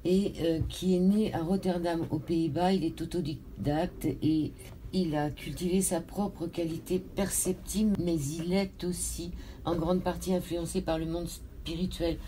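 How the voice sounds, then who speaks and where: A woman hosting a radio interview, introducing her guest in a measured way and spelling out names. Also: fairly low pitch, fairly even intonation, mellow, a little hiss or hum, poor audio. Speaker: radio host.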